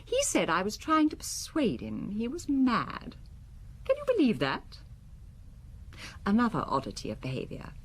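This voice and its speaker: A woman's voice: on the high side, huge pitch swings, normal speed, pauses often, husky, full character voice. An actress playing a cool, proud married businesswoman in a radio drama, composed, articulate and faintly contemptuous. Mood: scornful. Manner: composed, haughty, articulate, defensive.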